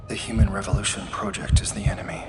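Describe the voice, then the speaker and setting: A man playing a brooding human rebel in a sci-fi audio drama, talking low and hushed. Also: flat delivery, fast talker, whispered, natural voice. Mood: brooding, conflicted. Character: tense young man, human rebel guiding fugitive androids.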